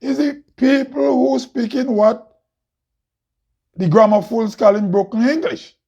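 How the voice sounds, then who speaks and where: A man from Grenada with a Grenadian accent and a loud, heavy voice, hosting an impassioned monologue full of rhetorical questions. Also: very high voice, unhurried, creaky, slightly rough. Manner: preachy, rhetorical questions, laughs often. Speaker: host. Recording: dull lo-fi recording.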